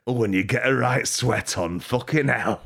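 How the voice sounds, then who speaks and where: A man rambling through an improvised mock tour-guide bit, deadpan and absurd, swearing and laughing at his own jokes. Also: mid-range voice, moderate pace, breathy edge. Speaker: podcast host.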